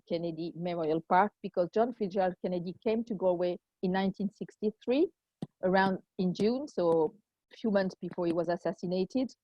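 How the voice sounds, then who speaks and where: Woman with a low, muffled voice. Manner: hesitant, many fillers. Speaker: volunteer giving a city tour. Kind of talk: informal online presentation. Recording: dry, muffled video call audio.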